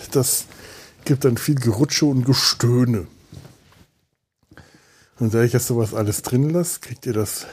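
A man's voice: mid-range voice, darkish tone, slightly rough. A middle-aged man podcasting alone in a casual, meandering monologue, thinking aloud with self-deprecating asides. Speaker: podcast host. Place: indoors on a sofa at home.

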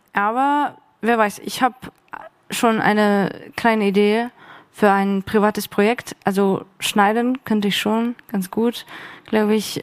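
Slow, hesitant woman on a panel. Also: mid-range voice, smooth. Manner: hesitant, many fillers. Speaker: workshop participant. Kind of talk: panel discussion answer.

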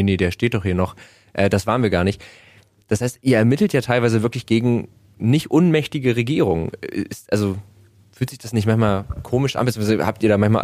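A fairly low-voiced man hosting a conversation, asking long, winding questions full of fillers. The sound is dry and close. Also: darkish tone, no room sound. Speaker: podcast host. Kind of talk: interview conversation.

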